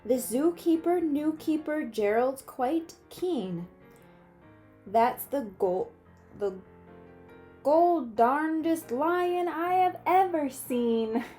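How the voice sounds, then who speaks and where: Female storybook reader, slightly high and mellow, playful delivery of rhyming verse, faint music. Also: expressive, drawn-out words. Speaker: teacher reading a picture book aloud.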